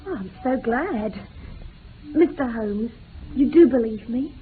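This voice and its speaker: An earnest, pleading young lady in an old radio play. Her voice is fairly high and well-bred. The recording is thin and band-limited, with faint noise. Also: animated, slow pace, phone-quality sound. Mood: hopeful, pleading. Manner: polite, pleading. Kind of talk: old-time radio drama acting.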